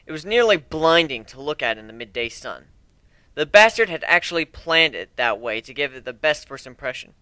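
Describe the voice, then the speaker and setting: A man's voice: fairly high pitch, expressive, normal speed, bright, forceful tone, clear, resonant tone, no room sound. A man giving an amateur dramatic reading of a first-person adventure story.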